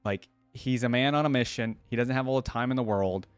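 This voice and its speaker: A man's voice: mid-range voice, fairly quick, clear, resonant tone, dry recording, soft background music. A man hosting a reaction video, talking casually and rambling informally as he muses, close to the microphone.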